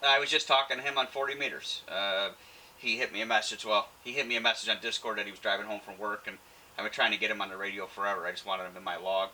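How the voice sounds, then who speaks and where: A man's voice: lowish voice, fairly even intonation, fast talker, bright, forceful tone, a little hiss or hum, rough recording. A man talking informally as an amateur radio operator, friendly and using radio jargon in a casual on-air chat. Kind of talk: casual ham radio contact.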